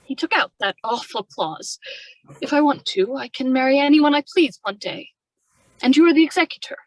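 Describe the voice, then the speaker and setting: Woman playing a high-voiced, strong-willed young wife. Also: animated, normal speed, rough recording. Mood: coaxing. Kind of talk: old-time radio drama acting.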